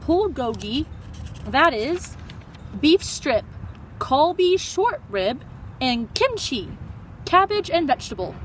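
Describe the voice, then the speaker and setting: A kindly storybook mother, acted out by a woman reading aloud, high in pitch. She talks in short, halting phrases with dropped words, over audible background noise. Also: big pitch swings, exaggerated voice. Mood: proud, careful. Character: Mrs. Park, kindly Korean mother with clipped grammar. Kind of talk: children's storybook character voice.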